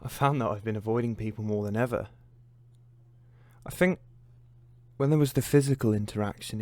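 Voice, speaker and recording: Casting: man playing isolated man, medium pitch, withdrawn and lonely, close dry short-film monologue. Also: mellow, dry recording, lightly acted.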